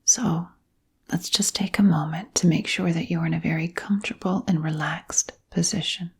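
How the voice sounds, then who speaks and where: A woman hypnotherapist guiding listeners into sleep hypnosis in a soft, breathy whisper, with soothing phrasing and gentle pauses. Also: on the low side, fairly even intonation. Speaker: hypnotherapist and channel host.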